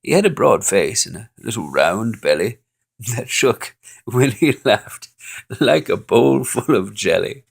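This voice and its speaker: A man reading a holiday poem aloud in an expressive, playful way, with chuckles along the way. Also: fairly low pitch, unhurried, gravelly, breathy edge. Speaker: storyteller.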